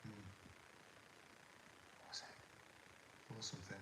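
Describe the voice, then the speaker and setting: A man's voice: unhurried, echoey room, noisy background. An older man with a toned-down northwest English accent from the Liverpool area hosts a casual live chat, rambling and stopping often to fill gaps with "um" as he answers viewer questions. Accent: toned-down Northwest English (Scouse). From Liverpool area, England. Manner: rambling and chatty with many ums and restarts. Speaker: livestream host.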